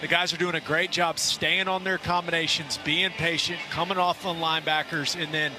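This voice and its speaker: A man giving measured, technical analysis with coaching jargon on a live football radio broadcast. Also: on the high side, little pitch movement.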